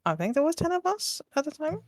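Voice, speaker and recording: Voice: high voice, very animated, fast talker, dry recording. A podcast guest and fandub voice actor chatting casually. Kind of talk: casual chat.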